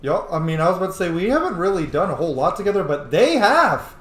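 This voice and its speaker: A man's voice: high-pitched, sing-song, quick pace, fairly bright tone. A man doing casual, profane commentary as he plays a fantasy roleplay game, reacting aloud to what happens. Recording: roomy, faint background noise.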